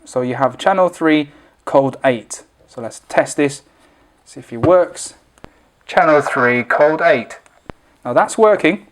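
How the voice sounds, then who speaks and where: A man's voice: a little high, lively intonation, slow. Man giving an informal step-by-step tutorial. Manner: step-by-step, unscripted explaining. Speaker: product demonstrator.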